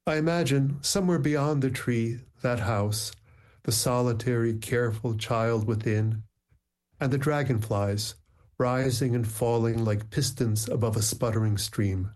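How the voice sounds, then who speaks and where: A middle-aged man with a mid-pitched voice speaks in a measured, reflective way. In conversation he hesitates with soft ums. When he reads his poems he slows right down and lets each line settle. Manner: measured, reflective, many ums when talking, slow deliberate reading. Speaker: poet, interview guest. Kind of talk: conversation and slow poetry reading.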